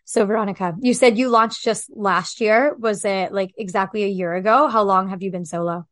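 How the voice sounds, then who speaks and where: A woman's voice: medium pitch, steady tone, moderate pace, fairly bright tone, no room sound, studio quality. A woman hosting a podcast, chatting in an upbeat, casual way and affirming her guest often. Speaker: podcast host. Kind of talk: casual interview chat.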